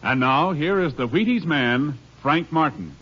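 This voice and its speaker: An American man announcing the credits of a 1950s radio show in a crisp, formal, measured style. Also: fairly high pitch, expressive. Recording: dull old radio broadcast over loud music.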